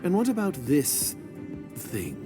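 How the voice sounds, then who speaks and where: An actor playing a cautious, secretive conspirator in a video game, speaking in a measured, formal, disdainful way. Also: male voice, sits high, wildly swooping pitch, exaggerated voice. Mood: suspicious. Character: cautious, secretive male conspirator, dry and suspicious. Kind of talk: scripted video game voice acting. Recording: game music under the voice.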